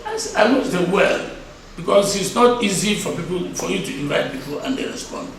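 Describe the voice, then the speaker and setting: High-voiced elderly Nigerian Igbo man, echoey. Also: lively intonation, vocal fry. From Nigeria (Igboland). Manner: deliberate, emphatic, repeats phrases. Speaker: traditional title chief being interviewed. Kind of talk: interview answers. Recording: echoey, distant mic, crowd noise behind.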